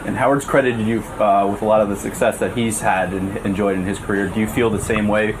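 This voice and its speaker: A man's voice: fairly low pitch, level tone, fast talker, noisy background. A man working as an interviewer, asking questions casually on the spot. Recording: busy room with chatter.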